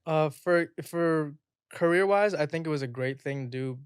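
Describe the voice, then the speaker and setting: A man's voice: a little high, normal speed, smooth, dry recording, clean studio sound. A man from Pakistan, a guest artist, talking casually and enthusiastically on a podcast, with many "like" fillers. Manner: relaxed, enthusiastic, frequent "like" fillers.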